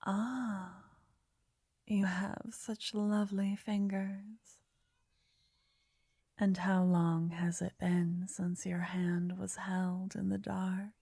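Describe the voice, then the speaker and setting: A woman playing a gentle, flirty fortune teller in an ASMR roleplay, speaking softly in a breathy half-whisper, coaxing and soothing the listener up close. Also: mid-range voice, flat delivery, extremely slow, dry recording, full character voice. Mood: warm, intrigued. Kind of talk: ASMR roleplay, intimate scripted address to listener. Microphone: close, dry microphone.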